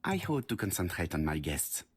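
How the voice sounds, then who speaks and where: A man's voice: low-pitched, very animated, moderate pace. A man playing a courteous, self-assured older detective in a video game, with a French accent and formal, precise phrasing. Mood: courteous, probing. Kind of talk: video game dialogue and inner monologue.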